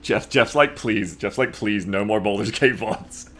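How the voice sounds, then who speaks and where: A man chatting casually to viewers as a game streamer, rambling, excitable and swearing freely. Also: on the low side, unhurried. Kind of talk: casual livestream chat to viewers. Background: music.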